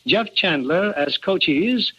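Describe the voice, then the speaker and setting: A man's voice: sits high, animated, takes it slowly, husky. A man announcing a scripted drama in 1950s radio style. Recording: muffled old radio, loud orchestral music.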